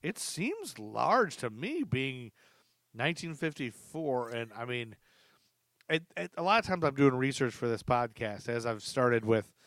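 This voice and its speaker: A man's voice: mid-range voice, very animated, measured pace, a bit bright, no echo. A man hosting a podcast, chatting casually in loose, rambling phrases. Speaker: podcast host. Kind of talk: casual conversational podcast chat.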